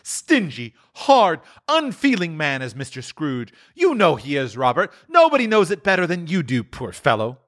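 A man voicing an indignant, sharp-tongued mother of a poor family, speaking with scorn. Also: on the high side, wildly swooping pitch, normal speed, hardly any pauses, pitched above the performer's own voice.

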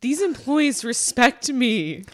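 A woman's voice: on the high side, very animated, slow. A woman playing a pompous, jargon-spouting consultant boss who gets defensive, in a comedy table read. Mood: indignant. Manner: indignant, pompous corporate talk.

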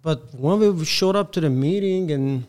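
A man's voice: a little high, normal speed, darkish tone. A man from Iran chatting informally as a podcast guest, in a loose, colloquial way. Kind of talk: casual chat.

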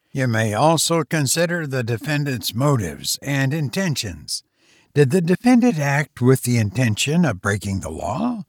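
A man's voice: mid-range voice, dry recording, studio quality, close to an everyday voice. A man voicing a formal, impartial judge in an audiobook, giving jury instructions with procedural authority.

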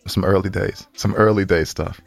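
A man's voice: low-pitched, fairly even intonation, moderate pace, gravelly, no echo, faint music behind. A man co-hosting a podcast, chatting casually with a bantering, mock-exasperated manner. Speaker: podcast co-host.

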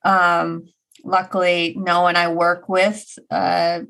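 A woman in her forties co-hosting a podcast, her voice a little raspy after an illness, chatting in an animated way and laughing often. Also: on the low side, slow. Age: 40s. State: slightly raspy from recent illness. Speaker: podcast co-host. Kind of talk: casual chat.

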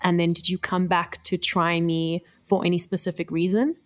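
A woman interviewer with a fairly low voice asks long, thoughtful questions at a measured, unhurried pace. She is calm and deliberate as she frames each question.

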